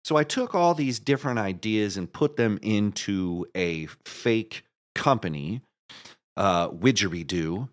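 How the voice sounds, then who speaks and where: A man's voice: fairly low pitch, animated, measured pace. A man being interviewed as a guest, casually explaining his creative project in a rambling way, thinking aloud and restarting his sentences. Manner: rambling, thinks aloud with repeated false starts. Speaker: guest, writer and director of an audio musical.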